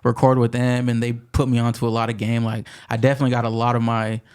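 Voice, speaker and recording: A man's voice: mid-range voice, little pitch movement, quick pace, a little mellow, smooth. Young rapper guy from the pacific northwest, chill slangy storytelling on a podcast. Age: 20s. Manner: laid-back slangy storytelling. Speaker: rapper guest. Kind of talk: casual podcast chat.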